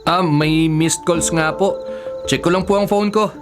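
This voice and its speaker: A man's voice: fairly high pitch, measured pace, over music, lightly acted. A man playing a young adult nephew in a Filipino radio drama, politely deferential but privately exasperated. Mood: polite, uneasy. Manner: deferential aloud, sardonic in asides.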